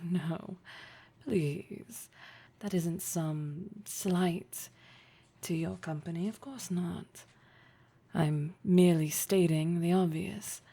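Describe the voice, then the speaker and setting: Casting: actress as commanding female executive for roleplay audio, formal, flirtatious, condescending; gravelly fry, unhurried delivery. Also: fairly low pitch, warm tone, heavily acted. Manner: formal, measured, condescending, teasing, with deliberate pauses. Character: poised, flirtatious, domineering woman boss and startup investor. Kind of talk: roleplay audio drama addressed to the listener.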